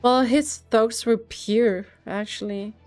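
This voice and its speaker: High-voiced woman streaming a game. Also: fairly even intonation, slow pace, darkish tone, soft background music. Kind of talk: casual playthrough commentary, reading dialogue aloud.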